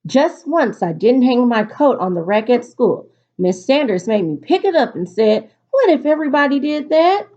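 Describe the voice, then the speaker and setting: A woman teacher reading aloud to students in an expressive, instructive storytelling delivery. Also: fairly high pitch, normal speed. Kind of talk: picture-book read-aloud for a virtual class. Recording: dull, lo-fi recording.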